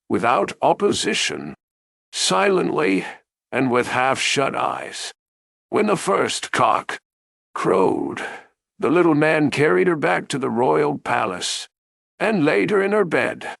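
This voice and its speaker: A man narrating a bedtime fairy tale as a storyteller, in an expressive, deliberate way. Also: a little high, creaky, no echo, studio quality.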